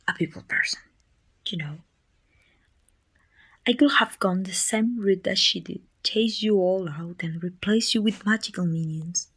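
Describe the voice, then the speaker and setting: A woman playing a dominant, haughty evil queen with a soft spot, musing imperiously in an ASMR roleplay. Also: mid-range voice, exaggerated voice. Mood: proud, musing. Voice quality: husky, breathy with whispered edges. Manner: imperious, musing, teasing condescension. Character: dominant, haughty evil queen softening toward her little helper.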